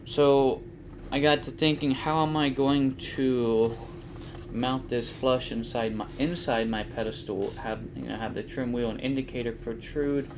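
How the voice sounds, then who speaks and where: A middle-aged man casually explaining a hands-on build, halting as he thinks aloud, in a small home room. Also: medium pitch, flat delivery. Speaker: host of a DIY flight simulator build channel. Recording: faint background room noise.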